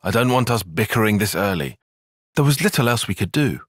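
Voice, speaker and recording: Formal young tactician, husky edge. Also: male voice, on the low side, expressive, no room sound, clean studio sound. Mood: serious, thoughtful. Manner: precise, measured, wry, formal diction. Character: young male tactician, analytical, formal, dryly critical soldier. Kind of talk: video game cutscene voice acting.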